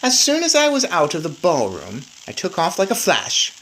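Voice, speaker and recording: Female story reader, low register, very animated delivery, some background noise. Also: normal speed, low-quality recording. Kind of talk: expressive children's audiobook-style read-aloud.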